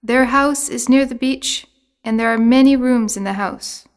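A woman narrates a language course in a fairly high voice. She reads scripted material and vocabulary with careful, clear enunciation. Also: measured pace. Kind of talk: scripted lesson reading, word drills.

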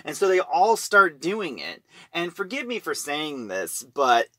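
Male reviewer, bright and slightly high voice, informal storyteller recapping a plot. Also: very animated, unhurried, no room sound.

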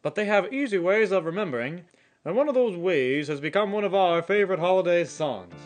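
A solemn old-time radio host, played by a man, with a high voice and a knowing, declamatory delivery. It is golden-age broadcast parody with faint music underneath. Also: expressive, brisk. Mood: knowing, folksy.

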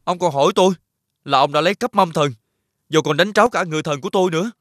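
A man playing an honest, humble young suitor in an animated fairy tale, speaking in an earnest way. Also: a little high, fast, no echo, clean studio sound, close to an everyday voice. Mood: indignant. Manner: earnest, polite phrasing. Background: background music.

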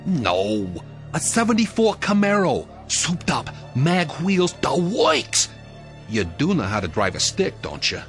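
An actor playing an eager, showy pal of a detective in a radio drama. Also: male voice, a little high, wildly swooping pitch, measured pace, soft background music, exaggerated voice. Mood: boastful. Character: eager male pal boasting of his car.

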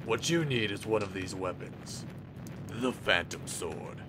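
A man voicing a grave, stoic blacksmith guardian in a cartoon parody, measured and formal. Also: lively intonation. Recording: noisy recording with low rumble.

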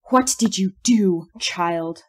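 A woman's voice: mid-range voice, slow, a little mellow. A woman playing a taunting, imperious fairy creature in an audio play.

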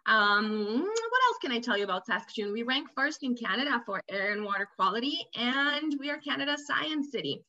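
A woman's voice: a little high, sing-song, moderate pace, few pauses, pushed and bright, muffled recording. A young woman from Romania giving a webinar presentation as a student recruitment officer, friendly and repeating words as she searches for them. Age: young adult. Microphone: video call.

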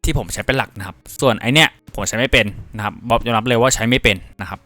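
A young man presenting a software tutorial casually, mixing polite phrasing with crude slang. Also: mid-range voice, racing, dry recording, soft background music. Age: young adult.

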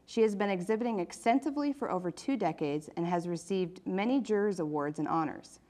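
Woman, program host, lowish pitch, scripted magazine narration. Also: lively intonation, brisk, darkish tone.

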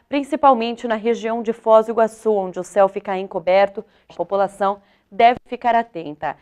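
Clear, smooth young woman presenting weather. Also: fairly high pitch, a little mellow. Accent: Brazilian. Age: young adult. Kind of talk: scripted weather forecast.

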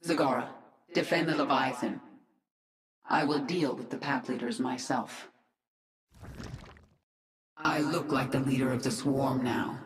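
A woman's voice: rumbling low, steady tone, a little slow, long pauses. A woman playing a cool, resolute alien-human swarm queen in a video game, controlled and authoritative. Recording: echoing, long cavernous reverb.

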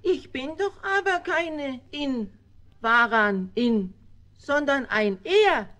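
Fussy little lizard, high and bright, kids radio play. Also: male voice, animated, slow, exaggerated voice. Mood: indignant. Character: protesting, pedantic little male monitor lizard. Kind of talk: children's radio play acting.

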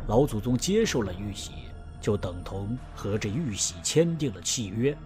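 A knowing family elder played by a man recounts an old family legend in a fan audio drama. His voice sits somewhat low and he tells it in an even, measured way. Soft music plays behind him. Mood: explaining. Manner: explanatory, storytelling, measured.

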